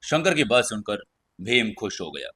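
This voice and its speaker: A man's voice: fairly low pitch, sing-song, moderate pace, bright, forceful tone, husky. A man hosting and narrating a scripted audio story. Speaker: storyteller and channel host.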